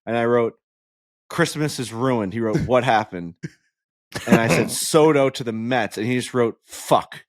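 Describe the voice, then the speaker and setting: A man's voice: medium pitch, measured pace, dry recording. Ranting man on a dry studio mic. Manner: animated, ranting, swears freely. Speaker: podcast co-host. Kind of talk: casual sports chat.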